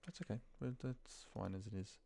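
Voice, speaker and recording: A man's voice: fairly low pitch, normal speed, creaky, mellow. A man talking casually to himself as he works through a screen walkthrough, muttering and reading notes aloud. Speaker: office worker demonstrating data entry. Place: screen recording at a computer desk.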